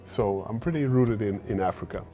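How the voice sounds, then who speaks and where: Forties male founder, deep-ish voice, sincere and unpolished, halting with "uh"s; soft music under him. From Sweden. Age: 40s. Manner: earnest, fills pauses with "uh" and repeats words while thinking. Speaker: startup founder, guest speaker. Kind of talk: fireside chat interview. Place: event stage at a fireside chat.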